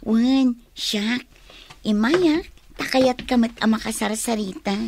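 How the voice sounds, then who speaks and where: An actress playing a friendly, supportive young student in a Filipino radio drama. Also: female voice, fairly high pitch, unhurried. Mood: welcoming.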